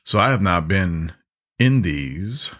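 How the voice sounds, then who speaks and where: A man's voice: low-pitched, lively intonation, takes it slowly, fairly bright tone. A man hosting a podcast in a casual, easygoing and informal conversational style. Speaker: podcast host.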